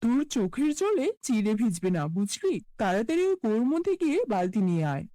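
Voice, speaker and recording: A woman voicing a cruel, scolding middle-aged mother in a cartoon fairy tale, speaking with sharp contempt. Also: on the high side, sing-song, a little fast, no echo, studio quality, voice pushed down. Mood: angry.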